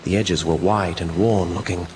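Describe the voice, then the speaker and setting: An actor playing an earnest doctor, narrating formally in a radio drama. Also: male voice, low-pitched, fairly even intonation, normal speed, lightly acted. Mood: formal, sombre. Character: earnest doctor recounting his fiancee's mysterious illness. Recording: noisy amateur local radio recording.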